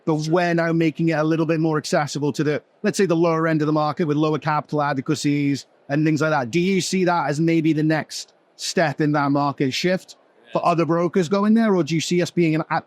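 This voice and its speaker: A man leading a business panel, asking long questions in a rapid flow. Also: a little high, steady tone, dry recording. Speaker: company executive leading the panel. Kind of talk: panel conversation.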